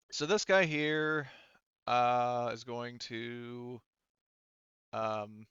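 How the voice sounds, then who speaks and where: Man, game master, casual halting narration, profane, slow pace, dry but slightly dull recording. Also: mid-range voice, fairly bright tone, clean tone, no echo.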